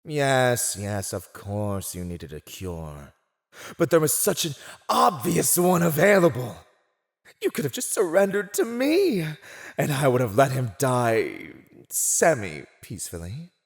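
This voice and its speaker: A man's voice: on the low side, very animated, slow. A man voicing a mocking, silver-tongued fantasy villain in a scripted ASMR roleplay, gloating over a captive in a teasing, condescending, theatrical drawl. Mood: gloating, scornful. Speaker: voice actor.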